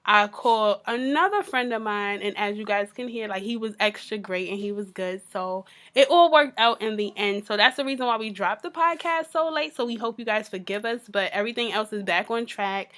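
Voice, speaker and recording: Raspy-voiced woman co-hosting a podcast. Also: mid-range voice, a little fast, hardly any pauses, fairly bright tone. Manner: chatty, laid-back, frank. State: raspy from a sore throat. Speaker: podcast co-host. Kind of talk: casual chat.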